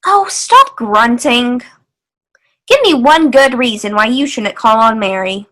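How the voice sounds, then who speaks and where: A woman's voice: on the high side, animated, unhurried, bright, forceful tone. A woman playing a no-nonsense small-town mother in old-time radio style, coaxing and bossy. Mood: coaxing, firm.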